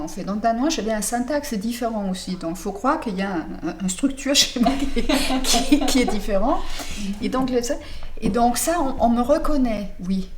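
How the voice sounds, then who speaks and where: A woman's voice: fairly high pitch, a little mellow, slight background noise. A woman novelist answering interview questions in French, which is not her first language, thoughtful and often restarting as she searches for words.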